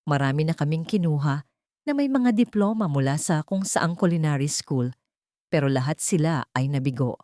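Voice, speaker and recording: A woman's voice: low-pitched, expressive, moderate pace, warm tone, no echo, studio quality. A woman voicing a cold, stern rich father who speaks in menacing warnings in an audio story.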